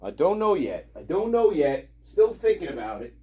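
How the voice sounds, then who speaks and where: A man's voice: a little high, very animated, normal speed, phone-quality sound. A man hosting a livestream, giving profane, heated commentary and repeating himself as he rants about a video he is playing. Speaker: livestream host. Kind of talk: ranting commentary on a played video.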